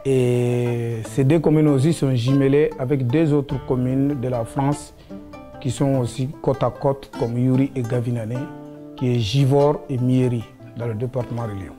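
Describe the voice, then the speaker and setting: A man speaking in a documentary interview, explaining things with many pauses and fillers. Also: medium pitch, steady tone, mellow, faint music behind.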